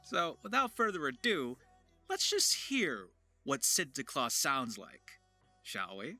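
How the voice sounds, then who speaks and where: A man presenting a voice acting tutorial to viewers in an animated, enthusiastic explaining manner. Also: on the high side, takes it slowly. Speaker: voice actor, writer and video presenter. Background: music.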